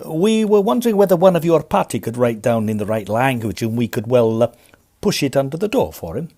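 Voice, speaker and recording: An actor playing a polite, discreet railway conductor in a Victorian audio farce, deferential and a little hesitant. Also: male voice, mid-range voice, sing-song, fairly quick, mellow. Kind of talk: period farce audio drama acting.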